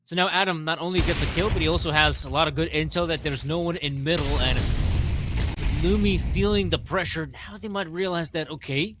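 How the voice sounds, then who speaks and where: A man's voice: a little high, brisk, music in the background. A man from the Baltics co-commentating a live esports match, analytical and joking. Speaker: esports caster.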